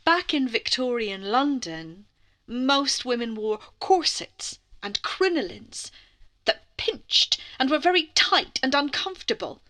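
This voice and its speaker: Bright-voiced woman telling a bedtime story. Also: sits high, takes it slowly. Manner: expressive, lingering, dramatic phrasing with soft hushed passages. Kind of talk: scripted children's bedtime story narration.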